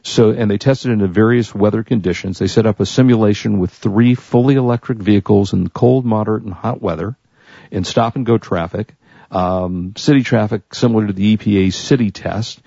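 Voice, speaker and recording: Creaky, low-voiced American man hosting. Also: a little fast, dark tone, no room sound. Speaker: talk show host. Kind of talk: casual tech and consumer news chat. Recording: dull lo-fi audio.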